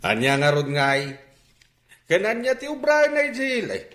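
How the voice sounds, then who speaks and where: A man's voice: sits high, very animated, measured pace, a bit bright, heavily acted. An actor playing a stern, scolding farmer father in a radio drama. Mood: stern, scornful. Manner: gruff, scolding. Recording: echoey room sound.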